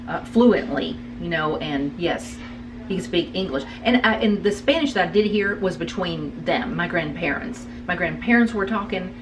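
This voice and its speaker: A woman talking casually with her son, halting and repeating words as she searches for them. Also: fairly low pitch, animated, distant mic, noisy background. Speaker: guest, the host's mother. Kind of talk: casual family conversation.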